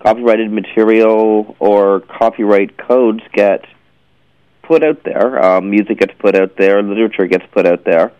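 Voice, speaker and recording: A man hosting an interview, asking questions conversationally in a halting way, often repeating words. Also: fairly low pitch, smooth, no echo. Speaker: interviewer, show host. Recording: dull, slightly lo-fi, dry sound.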